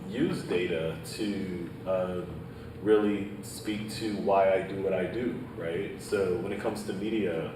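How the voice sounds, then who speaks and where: A man from Haiti, speaking earnestly on a panel and peppering his points with "right?" and "um". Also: low-pitched. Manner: earnest, repeats "right?" and "um", leans on data. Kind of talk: panel discussion answer. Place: panel event in a hall. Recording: echoey room, distant mic, background noise.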